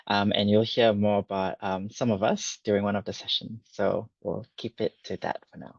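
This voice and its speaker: A man's voice: lowish voice, flat delivery, normal speed, gravelly, dry recording, muffled recording. A man from Singapore, a university partnerships manager, introducing himself casually with many fillers on a video call.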